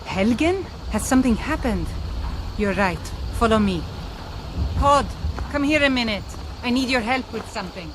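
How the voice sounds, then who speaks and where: A woman's voice: fairly high pitch, expressive, normal speed, lightly acted. An actress playing a practical, caring village woman and sister in a video game. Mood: brisk, decisive. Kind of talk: scripted video game acting. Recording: clear background noise, game ambience.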